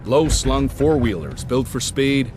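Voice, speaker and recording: An actor playing a gruff, folksy middle-aged survivor who spins tall tales in a wry, rambling, colloquial way for a video game. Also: male voice, mid-range voice, unhurried, lightly acted. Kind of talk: video game story narration in character. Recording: music behind the voice. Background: prominent music.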